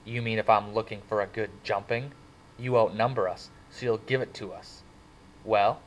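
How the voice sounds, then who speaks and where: Cocky teen wisecracker, drawls, throws it out loud, man reading the part. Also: fairly low pitch, fairly even intonation, normal speed, slight background noise, natural voice, rough recording. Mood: taunting. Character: cocky teenage boy, wisecracking and cool.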